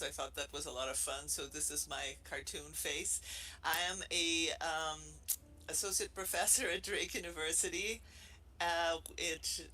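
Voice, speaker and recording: A middle-aged man with a Brazilian accent gives a loose, playful talk to a room and an online audience, often restarting his sentences. His voice is very bright and sits a little high for a man, with a faint hiss of noise behind it. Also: poor audio. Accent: Brazilian Portuguese. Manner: playful, chatty, many restarts. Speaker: associate professor presenting.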